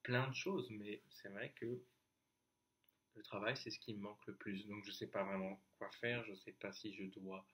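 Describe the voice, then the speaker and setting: A young man talking to his viewers in a casual, confessional vlog, hesitant and halting, often restarting and trailing off. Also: lowish voice, steady tone, a bit bright, husky. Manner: addresses the viewer directly, informal. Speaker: YouTuber.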